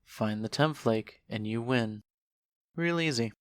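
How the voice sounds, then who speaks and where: A man's voice: mid-range voice, slow, dry recording, studio quality, close to an everyday voice. A man playing a sly, laid-back skeleton hustler with a casual, unbothered way of talking in a fan audio drama. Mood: casual hustling.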